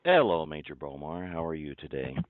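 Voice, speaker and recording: A man calling by telephone, speaking formally and deliberately and pressing his questions. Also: extremely low pitch, very animated, dry recording. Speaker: caller introducing himself as a judge. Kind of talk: formal phone conversation. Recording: tinny band-limited phone line.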